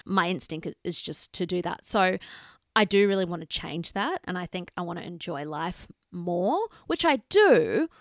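A woman, co-hosting a podcast in casual, animated chat. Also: on the low side, moderate pace, no room sound, clean studio sound. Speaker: podcast co-host.

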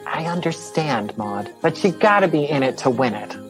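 A man's voice: fairly high pitch, big pitch swings, normal speed. A man voicing an optimistic, wide-eyed alien who reassures earnestly in an audio drama. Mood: reassuring, optimistic. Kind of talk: scripted audio drama acting. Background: music.

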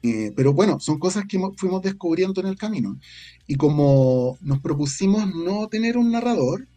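A Chilean man speaks as a guest in a relaxed interview, reflective and full of colloquial turns. His voice sits a little high and has a soft, mellow tone. Faint music plays behind him. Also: normal speed. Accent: Chilean Spanish. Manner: reflective, colloquial, frequent slang tags. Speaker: guest, audio drama creator and scriptwriter. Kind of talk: casual interview conversation.

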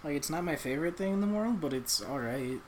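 A man's voice: a little high, animated, fairly quick, darkish tone. A tipsy man co-hosting a casual podcast, laid back with mumbled asides. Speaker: podcast co-host. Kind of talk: casual chat. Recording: audible background noise.

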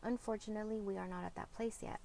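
A woman hosting a podcast, speaking openly and reflectively about co-parenting, often pausing and correcting herself. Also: mid-range voice, fairly even intonation, creaky, dark tone, slight background noise. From Mexican heritage, grew up speaking Spanish at home. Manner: reflective and open, with frequent fillers and self-corrections. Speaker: podcast host. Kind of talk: candid personal podcast monologue.

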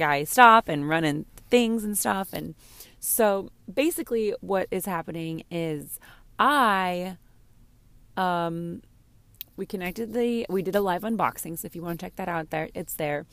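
A slightly hoarse woman chatting candidly and casually as a podcast host, rambling and gushing, easily distracted. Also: medium pitch, dry recording. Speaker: podcast host and game streamer. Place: inside a parked car.

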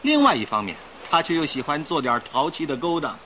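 An actor playing a suave, confident young gentleman with an emphatic, persuasive delivery in a Chinese radio drama. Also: fairly high pitch, wildly swooping pitch, a little fast, a little hiss or hum, full character voice. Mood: admiring, animated. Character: suave confident young gentleman passenger, admiring a famous thief. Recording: radio drama with faint music behind.

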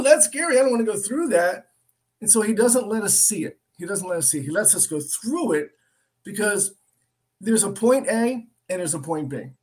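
A man's voice: sits high, husky. A middle-aged man with an American accent, teaching the Bible informally in an earnest storytelling way, repeating phrases for emphasis. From Philadelphia area, United States. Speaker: preacher.

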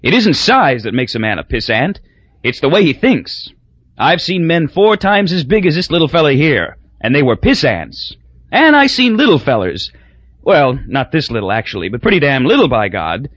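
A man voicing a loud, boozy, crude middle-aged businessman who talks in folksy bluster. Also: fairly high pitch, sing-song, normal speed, slightly rough, dry recording, muffled recording, pitched above the performer's own voice, full character voice.

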